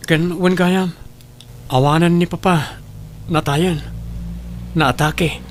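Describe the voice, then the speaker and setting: An actor playing a dutiful, apologetic grown son in a family drama, speaking earnestly and respectfully. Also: male voice, on the high side, fairly even intonation, slow pace, a little hiss or hum. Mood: earnest, concerned. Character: dutiful adult son, apologetic and concerned for poor neighbours. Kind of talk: Ilocano radio-style family drama acting.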